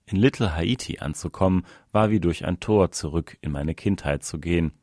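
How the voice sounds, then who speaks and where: A man with a very low voice narrates a documentary essay in a calm, measured, even reading. The recording is dry and close, with no room sound around him. His delivery stays scripted and steady. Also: dry recording.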